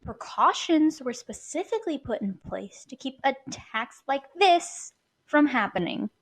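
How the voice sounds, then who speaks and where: A woman's voice: sits high, sing-song, measured pace, full character voice. A woman playing an irritated, blunt female mercenary in an audio drama. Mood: exasperated. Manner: blunt, exasperated.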